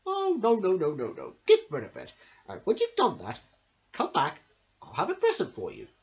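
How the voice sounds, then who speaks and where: A storyteller voicing a big, snappy male crocodile in a loud, possessive manner for a children's storybook. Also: mid-range voice, wildly swooping pitch, moderate pace, pitched above the performer's own voice. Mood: relieved. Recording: dull lo-fi home recording.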